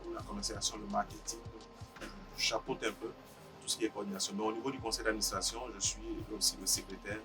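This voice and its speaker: A man from Côte d'Ivoire, an agroeconomist describing his coordination role in a measured interview. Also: on the low side. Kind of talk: interview testimony. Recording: distant mic with clear music behind.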